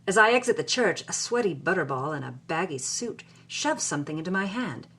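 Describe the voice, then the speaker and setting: A wry, anguished Hollywood woman of the 1940s, voiced by an actress, tells her story in the first person. Her voice sits a little low, and she delivers the journal with theatrical, expressive storytelling. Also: brisk, slightly rough, exaggerated voice.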